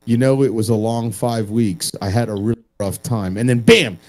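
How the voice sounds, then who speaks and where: A man's voice: medium pitch, steady tone, normal speed, darkish tone. A man in a casual panel chat, talking in an emphatic, opinionated way and swearing freely. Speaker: podcast panelist.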